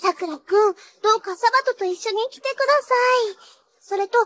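A woman's voice: very high-pitched, brisk, fairly bright tone. A woman playing a polite, clumsy young angel assassin in an anime, with a childlike, cutesy voice and an earnest, easily flustered way of talking. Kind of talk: Japanese anime character acting.

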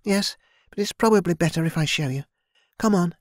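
Man putting on a helpful servant girl, high and brisk, dry sound. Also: dry recording, close to an everyday voice. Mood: matter-of-fact.

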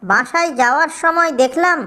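A scheming male crow from a children's cartoon, high in pitch, voiced by a woman. He is greedy and sly, talking to himself like a nosy bully. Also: moderate pace, bright, forceful tone. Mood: suspicious, curious. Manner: suspicious, gloating, muttering to himself.

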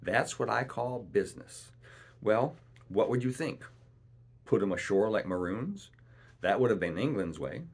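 A man's voice: fairly low pitch, animated, unhurried, husky, full character voice. A man voicing a cunning, domineering pirate ship's cook in a storybook, with boastful, wheedling, rustic seafaring speech. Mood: coldly menacing.

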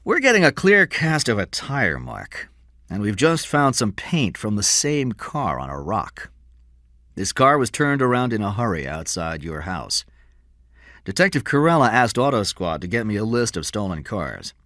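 A man's voice: fairly low pitch, sing-song, moderate pace, fairly bright tone, no echo, clean studio sound, pitched above the performer's own voice. A man voicing a frank, practical police lieutenant in an audiobook crime story, speaking in an emphatic, businesslike way.

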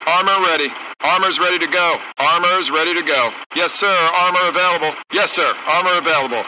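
An actor voicing a gung-ho American tank crew commander in a strategy game, terse and brisk, through a military radio filter. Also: male voice, fairly high pitch, pushed and bright. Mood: confident, businesslike. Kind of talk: clipped military unit voice lines in a strategy game. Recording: dull radio sound with faint noise.

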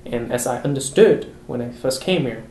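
Young guy, international student, thoughtful, room echo and faint rumble. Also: animated, moderate pace. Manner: thoughtful, gestures, laughs softly. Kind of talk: casual interview. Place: college room by windows.

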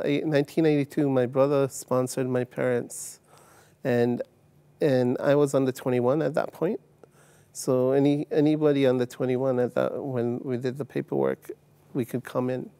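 A man from Uganda tells his story on a TV studio set, halting often with fillers and restarts. His voice is mellow and dark. It is picked up dry and close by a lapel mic. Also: mid-range voice, little pitch movement, clear, resonant tone, dry recording. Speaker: guest. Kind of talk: telling his life story in a TV interview.

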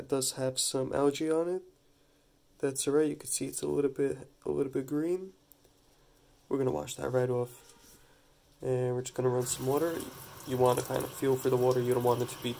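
A man with a stuffy head cold casually demonstrating a gardening task at a kitchen sink, talking in a relaxed, halting way with many restarts. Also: medium pitch, creaky. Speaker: hydroponics gardening channel host. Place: home kitchen at a running sink. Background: running tap water.

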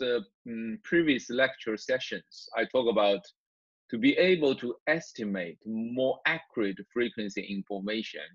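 A man who speaks English as a second language explains software step by step as a lecturer in a screencast tutorial, informal and halting, repeating himself. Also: medium pitch, no echo. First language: not English. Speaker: university lecturer. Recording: dull, muffled lo-fi microphone.

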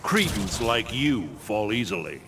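An actor playing a stern, righteous thunder god warrior in a video game, solemn, formal and commanding. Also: male voice, lowish voice, very animated, a little slow, background noise. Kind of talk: video game character acting.

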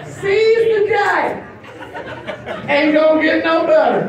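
Raspy lady doing dirty standup, slow talker, echoey hall, crowd laughing. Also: extremely high pitch, expressive. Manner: brash, bawdy, self-mocking delivery. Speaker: stand-up comedian. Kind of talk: stand-up comedy set. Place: large indoor hall on a small stage. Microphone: handheld mic. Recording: echoey hall from far off with audience.

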